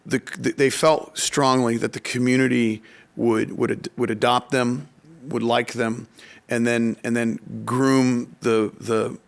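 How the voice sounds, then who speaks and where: A man with a noticeably creaky, gravelly voice at an ordinary male pitch. He speaks in a conversational podcast style, circling back over words as he explains things through anecdotes. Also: level tone. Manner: halting, repeats words while explaining. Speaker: economic development official, podcast speaker.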